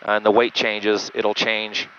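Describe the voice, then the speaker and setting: A man who pilots a jet, giving an unscripted, methodical instructional walkthrough with halting speech over a cockpit headset intercom. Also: on the low side, monotone, a loud crowd. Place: small jet cockpit in flight. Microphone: aviation headset through intercom. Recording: muffled band-limited cockpit headset audio, engine noise.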